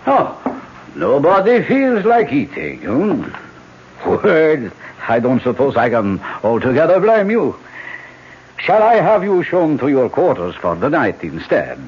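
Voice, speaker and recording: An actor playing a suave, scheming older generalissimo with an urbane, oratorical manner in a radio drama. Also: male voice, a little high, huge pitch swings, takes it slowly, slight background noise, exaggerated voice. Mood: feigned concern. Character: suave, scheming elder generalissimo, falsely courteous. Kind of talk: old-time radio drama acting. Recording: dull old radio broadcast.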